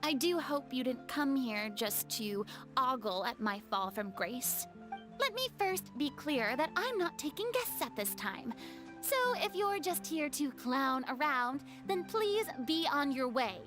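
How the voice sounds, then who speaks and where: A woman's voice: sits high, animated, unhurried, music in the background. An actress voicing a proud, theatrical young woman who was once a goddess, with a haughty, dramatic delivery. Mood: indignant. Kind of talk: game voice acting.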